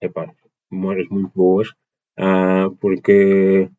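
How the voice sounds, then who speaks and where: A man's voice: sits low, monotone, takes it slowly, phone-quality sound. A man in his twenties from Portugal, answering as a podcast guest, with many fillers and restarts. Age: 20s. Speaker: podcast guest, dance teacher. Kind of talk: casual interview answers.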